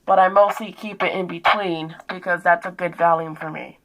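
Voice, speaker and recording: A woman's voice: fairly low pitch, fairly even intonation, normal speed, a bit bright, rough recording. A woman in her twenties talking informally and a bit ramblingly through a show-and-tell vlog, explaining things hands-on. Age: 20s. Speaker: vlogger showing purchases.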